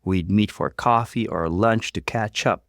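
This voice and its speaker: A man reading a story aloud as scripted, expressive narration. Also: lowish voice, unhurried, creaky, no echo, clean studio sound.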